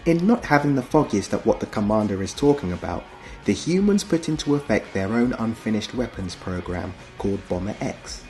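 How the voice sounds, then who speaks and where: A man with a fairly low voice narrates from a script, documentary style. Music plays behind him. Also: sing-song, a little fast, darkish tone.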